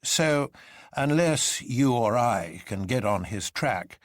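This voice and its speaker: A man voicing an excitable young poet in a story, improvising with nervous, hesitant bravado. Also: medium pitch, takes it slowly, no room sound, clean studio sound, pitched above the performer's own voice. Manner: hesitant, improvised delivery with ums and repeats.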